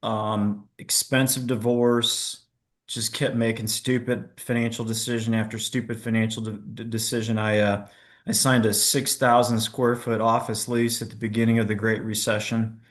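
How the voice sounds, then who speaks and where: A man's voice: on the low side, level tone, moderate pace. A middle-aged man, an entrepreneur, telling his own story candidly in a casual interview, poking fun at himself and stumbling into restarts now and then. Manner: candid, self-deprecating, with stammered restarts. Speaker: podcast guest, entrepreneur.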